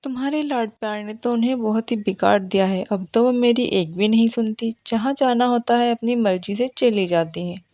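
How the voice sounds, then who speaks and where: A woman's voice: a little high, moderate pace, hardly any pauses, a little mellow, dry recording, lightly acted. A woman voicing cartoon dialogue as a fretful mother and her headstrong young daughters. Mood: fretful, scolding.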